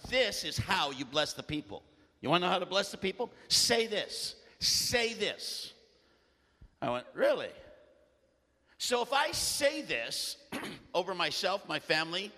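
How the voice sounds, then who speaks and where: A man preaching a sermon with a loud voice and animated storytelling, often clearing his throat. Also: sits high, long reverb tail.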